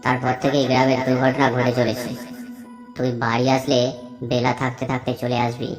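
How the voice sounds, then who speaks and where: A woman voicing a worried elderly village father, gravely reading out his letter in a horror story. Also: extremely low pitch, flat delivery, normal speed, faint music behind, pitched below the performer's own voice.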